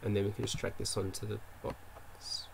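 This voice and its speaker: Gravelly man muttering through his work. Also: fairly low pitch, monotone, moderate pace, slight background noise. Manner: mutters to himself, self-correcting and second-guessing. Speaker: game developer streaming his work. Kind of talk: thinking aloud while working.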